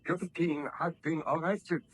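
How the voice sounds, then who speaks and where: Husky troubled baron. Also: male voice, fairly high pitch, steady tone, a little slow, poor audio. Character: troubled, courteous baron defending his household. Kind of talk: 1930s radio play acting. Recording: old radio drama recording.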